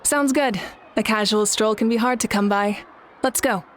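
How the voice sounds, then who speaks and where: A woman voicing a composed, logical and compassionate female leader in a video game, speaking in a measured, matter-of-fact way. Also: fairly high pitch, background noise, lightly acted. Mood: calm, reflective. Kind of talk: scripted video game voice acting. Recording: music behind the voice.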